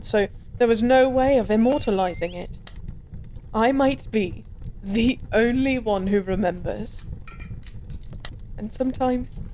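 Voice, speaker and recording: A woman's voice: medium pitch, a little mellow, a little hiss or hum, natural voice. A woman playing an ancient fallen goddess, drunk, weary and resigned, in an intimate, melancholy audio roleplay monologue. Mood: bitter, resigned. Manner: slow, brooding, melancholy drunken rambling.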